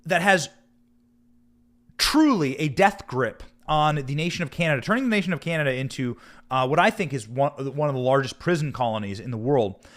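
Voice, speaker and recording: Male American political host: dramatic, emphatic delivery with very lively, swooping intonation. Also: a little high. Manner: emphatic, dramatic, with rhetorical questions and drawn-out stressed words. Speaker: political commentary show host. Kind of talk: live political commentary with news read aloud.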